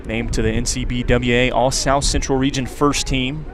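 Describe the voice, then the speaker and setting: A man's voice: mid-range voice, fairly even intonation, moderate pace, music in the background. A man with an American accent doing live radio play-by-play commentary of a baseball game as announcer. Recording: clear background noise from outdoor ballpark.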